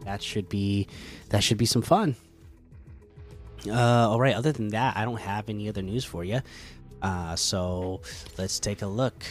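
A man hosting a casual gaming podcast, chatty and relaxed with lots of fillers, slightly hoarse from a cold. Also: lowish voice, measured pace, pauses often, soft background music. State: catching a cold, losing voice. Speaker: podcast host.

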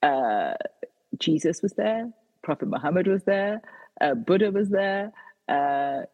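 A woman's voice: lowish voice, lively intonation, a little slow, in a large hall, dull audio. A British woman, an author and guest, answering interview questions with many fillers and restarts. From Britain.

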